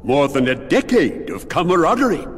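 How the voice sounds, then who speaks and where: A man's voice: a little high, very animated, normal speed, breathy edge, big-room sound, soft background music. An actor playing a pompous, genteel male stone gargoyle in a video game, wordy in manner. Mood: grand.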